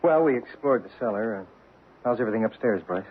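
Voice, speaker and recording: Practical ghost hunter, tinny broadcast. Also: male voice, fairly low pitch, lively intonation, fast, slightly rough. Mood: businesslike. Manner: matter-of-fact, conversational. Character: practical male ghost hunter handling the camera. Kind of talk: radio drama acting. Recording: tinny, noisy old radio broadcast.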